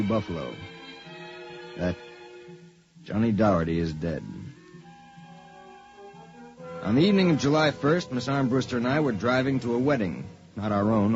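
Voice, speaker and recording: A tough, wisecracking young private eye played by a man from a 1950s radio drama. He talks in sardonic hard-boiled slang, in a medium-pitched voice. The recording is dull and vintage, with faint music behind. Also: lightly acted. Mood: grim, matter-of-fact. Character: wisecracking tough young private detective, narrating his own story.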